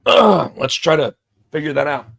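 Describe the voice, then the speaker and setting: Guy hosting his podcast solo, gravelly voice that's going hoarse, keeps clearing his throat, lots of ums and uhs. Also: fairly high pitch, lively intonation, takes it slowly, a bit bright. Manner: rambling, frequent fillers and restarts, throat clearing. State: losing his voice, under the weather. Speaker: podcast host. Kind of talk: solo casual wrestling podcast talk.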